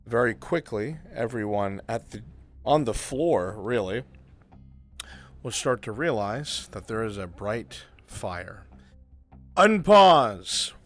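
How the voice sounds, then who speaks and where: A low-pitched man works as game master in a live roleplay game. He narrates the scene and slips in short commands to his players, with music playing behind him. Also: big pitch swings, slow pace, fairly bright tone. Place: voice chat in a virtual reality game.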